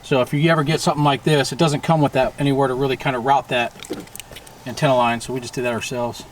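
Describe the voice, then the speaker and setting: A man's voice: medium pitch, flat delivery, fast talker. An American man narrating a casual hands-on how-to in an informal, plain-spoken way, recorded outdoors on a camera mic. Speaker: DIY boat maintenance video host. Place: outdoors, on a boat parked by a driveway. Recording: faint outdoor background noise.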